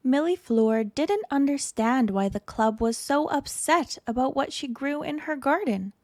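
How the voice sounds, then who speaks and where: A woman reading a children's picture book aloud in an expressive, deliberate storytelling manner. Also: high-pitched, no room sound, studio quality. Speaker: storytime reader.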